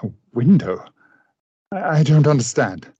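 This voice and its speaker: An actor playing a tormented, remorseful gentleman scientist in a radio play, speaking with formal, brooding diction. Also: mid-range voice, very animated, measured pace, slightly rough, no echo, highs cut off, full character voice. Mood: shocked.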